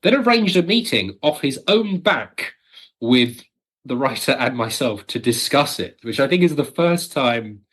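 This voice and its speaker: A man's voice: mid-range voice, animated, measured pace, a bit bright, husky. A man in casual interview conversation as a guest, thinking aloud and hedging as he goes. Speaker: guest, audio drama producer.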